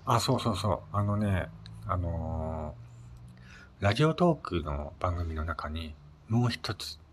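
Guy with a low voice doing a chatty solo podcast, polite, pauses w little fillers. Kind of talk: casual solo radio chat.

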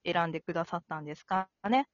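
A woman's voice: lowish voice, quick pace, no echo. Polite woman hosting, dry dull sound. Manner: polite, conversational. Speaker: host. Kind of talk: casual podcast hosting.

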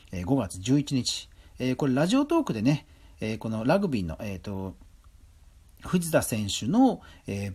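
A man's voice: medium pitch, darkish tone, breathy edge. A man hosting a podcast, running through news items and adding his own conversational commentary. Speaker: podcast host. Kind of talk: news roundup commentary, semi-scripted.

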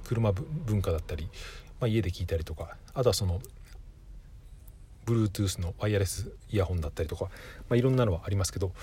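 A man with a fairly low, noticeably husky voice hosts a casual solo podcast. He speaks quickly and thinks aloud, hesitating and correcting himself as he goes. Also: warm tone. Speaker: podcast host.

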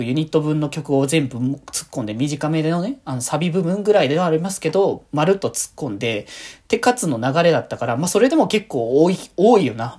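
A woman with a husky voice chatting casually on her own as a podcast host, rambling with excited fan enthusiasm. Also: low voice. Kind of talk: casual solo fan chat.

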